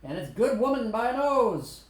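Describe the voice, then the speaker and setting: Lady doing a horse race caller, excited and high, calling it loud in an echoey room. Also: moderate pace. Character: excited horse race announcer on car radio. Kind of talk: race commentary.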